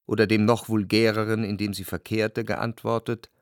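Low-voiced, brooding male narrator played by an actor. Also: little pitch movement, no room sound, studio quality, close to an everyday voice. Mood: reflective, brooding. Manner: reflective, measured literary delivery in long sentences. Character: introspective, jealous male lover recalling his past. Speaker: narrator in a radio drama. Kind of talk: literary radio drama narration.

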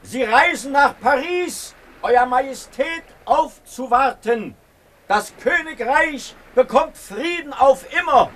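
A man's voice: very high voice, animated, slow pace, fairly bright tone, full character voice. A man playing a royal marshal who formally heralds news to his king in a radio drama. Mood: triumphant. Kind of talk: 1970s German radio drama acting.

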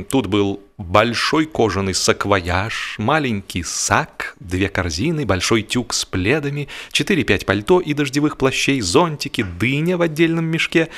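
Low-voiced man narrating humorous fiction. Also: fairly bright tone. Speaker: audiobook narrator. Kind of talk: expressive scripted narration of a humorous novel.

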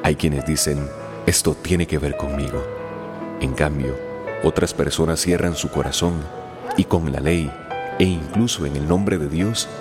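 A man giving solemn, measured scripted narration for a radio drama. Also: extremely low pitch. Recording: music behind voice.

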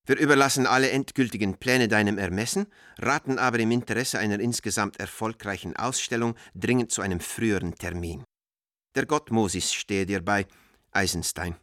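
An actor playing an American art dealer reading out his letters in a radio drama, in a measured, formal way. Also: lowish voice, fairly bright tone, no echo, studio quality, natural voice. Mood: measured, urgent. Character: American art dealer writing coded letters to an old friend.

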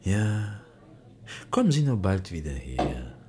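An actor playing a proud old bookseller who grumbles in broken, heavily coloured German. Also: male voice, low voice, wildly swooping pitch, takes it slowly, crowd noise, exaggerated voice. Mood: obliging. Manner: heavy Yiddish-flavoured broken German, fussy, dismissive. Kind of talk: radio play acting.

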